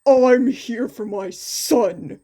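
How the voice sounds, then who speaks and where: A woman's voice: fairly high pitch, very slow, warm tone. A woman voicing an angry, stubborn male hero and father in a story reading, combative and indignant.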